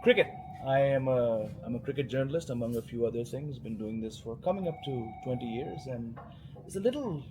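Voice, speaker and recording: A man's voice: mid-range voice, brisk, background noise. A man with an Indian accent, born and raised in India, a cricket journalist chatting as a guest in a casual interview, wry and full of restarts and fillers.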